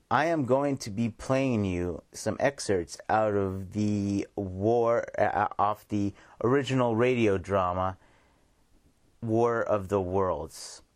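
A man's voice: fairly low pitch, slow pace. An American man hosting a radio show, talking informally in a rambling, repetitive monologue. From United States. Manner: rambling, informal, repeats himself. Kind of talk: casual radio monologue with shout-outs.

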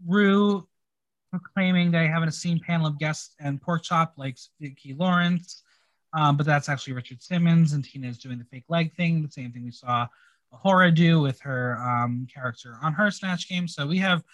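A man's voice: fairly high pitch, normal speed, fairly bright tone, muffled recording. Male recap host, conversational, dry delivery, leaning on repetition for emphasis. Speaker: podcast host recapping a TV episode. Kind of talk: casual recap commentary.

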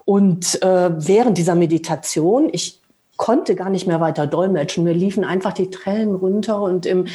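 Older german woman from the rhineland, soft dark voice, pauses a lot, thinking out loud. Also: fairly low pitch. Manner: hesitant, many fillers, thoughtful. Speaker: guest, meditation teacher and interpreter. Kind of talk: reflective personal account in a podcast.

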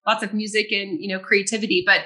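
Bright clear woman, grew up in france, french first language, chatty earnest guest, laughs a bunch. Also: medium pitch, level tone, fast. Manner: earnest and reflective, laughs often. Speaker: guest, psychic. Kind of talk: casual interview conversation.

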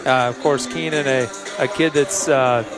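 A middle-aged American man introduces a basketball game, his voice a little hoarse. He rambles through the pregame notes with lots of fillers and restarts. Music plays clearly behind him. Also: mid-range voice, fairly even intonation, unhurried. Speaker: play-by-play announcer. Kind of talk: pregame sports broadcast commentary. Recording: music in the background.

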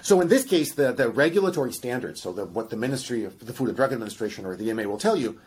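Husky Russian-accented man explaining. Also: mid-range voice, very fast. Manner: animated, explains with examples and asides. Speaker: guest scientist. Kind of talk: explanatory podcast conversation.

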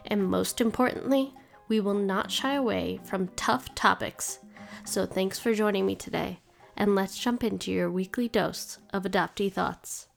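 A woman's voice: medium pitch, measured pace, gravelly, faint music behind. A woman hosting a podcast who reads a scripted intro and then asks her guest questions in a friendly way. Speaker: podcast host. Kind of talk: podcast interview.

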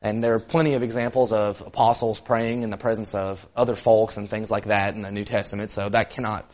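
A man giving a sermon in a loud, carrying voice, casual and conversational with lots of "kind of". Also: on the low side, flat delivery, a little fast. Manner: loud voice that carries; conversational, many fillers like "kind of". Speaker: preacher. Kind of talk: sermon teaching. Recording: dull lo-fi recording.

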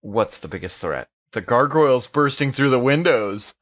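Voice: mid-range voice, expressive, moderate pace, dry recording. A tabletop game player chatting casually at the table, on a slightly dull recording.

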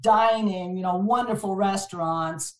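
A woman from Montreal whose first language was French, an animated guest on a podcast. Her voice sits in the middle of the range for a woman. She is recorded from some distance in an echoey room. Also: medium pitch, a little slow. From Montreal, Canada. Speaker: podcast guest, distribution manager. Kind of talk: casual interview chat. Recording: echoey, set back from the mic.

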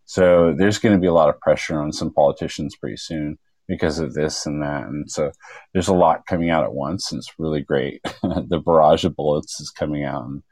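Very deep-voiced earnest male activist. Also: fairly quick, darkish tone, no room sound. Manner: earnest, rambling, many fillers. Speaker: activist guest. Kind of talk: casual chat.

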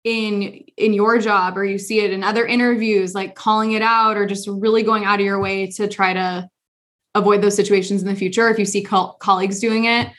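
A woman's voice: medium pitch, flat delivery, fairly quick, fairly bright tone. A young adult woman hosting a podcast, talking earnestly in casual conversation with lots of fillers and restarts. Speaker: podcast host.

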